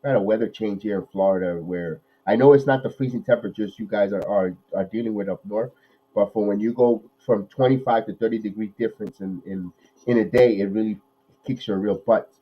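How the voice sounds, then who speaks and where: A man hosting a live watch-along podcast, nasal from a cold, calling plays excitedly and then talking analysis with many repeats. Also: lowish voice, a little fast, dull audio. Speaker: podcast host.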